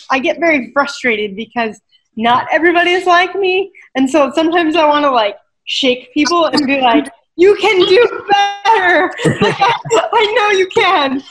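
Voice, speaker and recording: Woman, high-pitched voice, fitness coach, interview guest, animated, emphatic. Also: poor audio. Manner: animated, emphatic, keeps asking "right?". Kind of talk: casual interview conversation.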